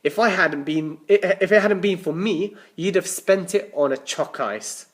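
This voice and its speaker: A man's voice: sits high, unhurried, pitched above the performer's own voice. A man voicing a sardonic, exasperated boy, the younger brother in a storybook, with dry, teasing emphasis.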